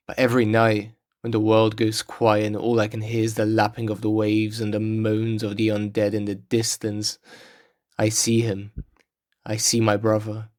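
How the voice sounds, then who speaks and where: Guilt-ridden wasteland sailor for a game, man acting, brooding and confessional, lowish, subdued delivery. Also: close to an everyday voice. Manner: somber, confessional. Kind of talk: scripted game cutscene monologue.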